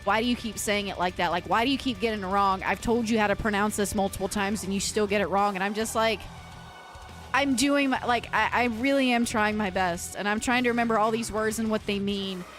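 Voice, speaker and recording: A woman's voice: medium pitch, fairly even intonation, brisk, fairly bright tone, soft background music. A woman livestreamer chatting casually and earnestly with her viewers, rambling and often restarting her sentences. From United States. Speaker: livestreamer and metal vocalist.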